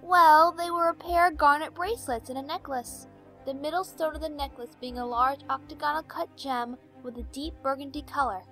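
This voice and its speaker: A young shopkeeper mare voiced by a woman talks in a high voice. She is hesitant but helpful, acted for an audio drama with soft music underneath. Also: steady tone, moderate pace.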